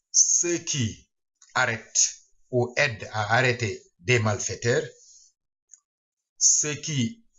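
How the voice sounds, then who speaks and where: A man reading a scripted news explainer aloud in short, halting phrases. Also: medium pitch, a bit bright, muffled recording. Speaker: reader and translator of a news bulletin.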